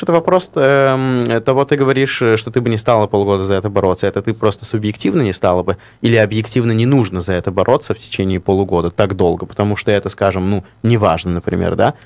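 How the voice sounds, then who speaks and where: A man co-hosting a radio talk show, speaking in a measured, deliberating way and pausing to find his words. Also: lowish voice, darkish tone, no room sound. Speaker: radio co-host. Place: radio studio. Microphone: studio microphone.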